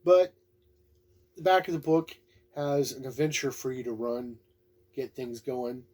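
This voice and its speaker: A man's voice: mid-range voice, unhurried, a little mellow. A man giving a casual, unscripted review in a folksy, plain-spoken, laid-back way. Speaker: tabletop game book reviewer.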